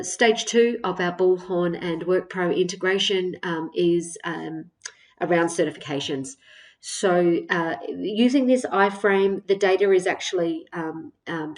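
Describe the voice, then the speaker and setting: A woman presenting a software tutorial as a step-by-step walkthrough, explaining in a measured way with frequent hesitations. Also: fairly low pitch. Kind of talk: screen-share product walkthrough, semi-scripted narration.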